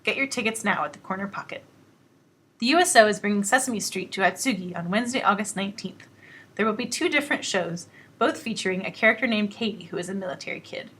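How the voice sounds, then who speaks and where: Woman, husky breathy voice, scripted announcements, even delivery. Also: medium pitch, fast talker.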